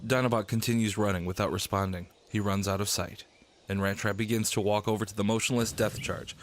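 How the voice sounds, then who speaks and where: A man's voice: on the low side, steady tone, brisk. A man reading scripted scene narration for a radio drama.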